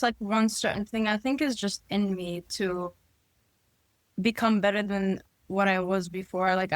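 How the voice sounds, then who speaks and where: Young jersey woman, podcast guest, earnest and steady, dry close sound. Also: mid-range voice, no echo. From New Jersey, USA. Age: 20s. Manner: earnest, lists achievements steadily. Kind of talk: casual interview talk.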